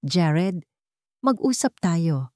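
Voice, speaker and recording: A woman's voice: on the low side, big pitch swings, extremely slow, darkish tone, dry recording, clean studio sound, heavily acted. A woman voicing a wronged wife who feigns meek, defeated submission in an audio story. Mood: subdued.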